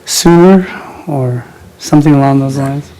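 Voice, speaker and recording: A man's voice: medium pitch, a little slow, mellow, low-quality recording. A man chairing a city advisory committee meeting, his voice weakened by a cold, speaking in a procedural way with some hesitation. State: recovering from a cold, voice weak. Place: council chamber.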